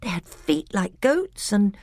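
Brief: woman reader playing a little boy prince, high and excitable, lively swoops, dry close sound. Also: slow pace, no room sound, exaggerated voice. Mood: eager babbling. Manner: high, eager babbling.

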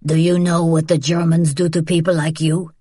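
A woman voicing a rough, broad-shouldered Basque smuggler, blunt and growling, in an audiobook. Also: low-pitched, level tone, moderate pace, warm tone, no room sound, studio quality. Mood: menacing.

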